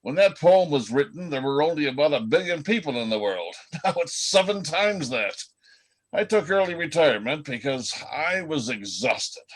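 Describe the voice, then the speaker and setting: A man's voice: fairly high pitch, expressive, normal speed. An older man playing a weary, good-humored retired reindeer in a radio-style play, with a wry, conversational manner. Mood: weary complaint. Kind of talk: radio-play style readers theatre acting.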